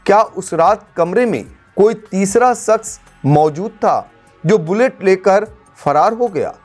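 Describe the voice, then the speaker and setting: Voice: mid-range voice, a little mellow. News narrator over background music. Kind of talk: scripted crime news narration.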